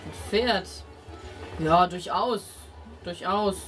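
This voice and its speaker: A game master plays a chatty, obliging fantasy innkeeper in a tabletop game. The talk is leisurely and confiding, at a middle pitch, with clear music behind. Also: drawn-out words, fairly bright tone.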